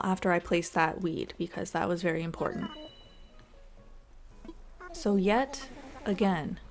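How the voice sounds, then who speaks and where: A woman giving casual, chatty and expressive voice-over commentary as she plays a video game. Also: medium pitch. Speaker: gaming video creator. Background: background music.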